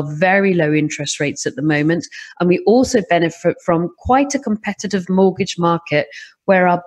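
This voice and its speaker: A middle-aged woman from Scotland with an English accent, telling a podcast host about her property investing in a lively, animated way. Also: lowish voice. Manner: lively, animated storytelling with laughs. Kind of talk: podcast interview.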